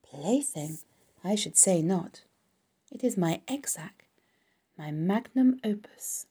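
A woman voicing a wise, motherly, tired female spider from a storybook, speaking softly in a measured, dignified way. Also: lowish voice, lively intonation, husky, heavily acted. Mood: weary pride. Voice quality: weak, soft.

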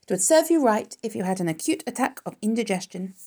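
Motherly older spider for a storybook, read by a woman: creaky, wise, protective, firm when she needs to be. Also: medium pitch, expressive, moderate pace, warm tone. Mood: scolding, disgusted. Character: kindly ageing female spider, wise and protective.

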